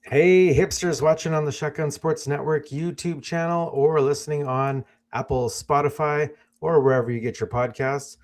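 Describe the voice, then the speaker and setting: A man's voice: fairly high pitch, fairly even intonation, moderate pace, few pauses, a little mellow. A man hosting a sports podcast, opening the show in a cheerful, casual way. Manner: upbeat show opener. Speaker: podcast host.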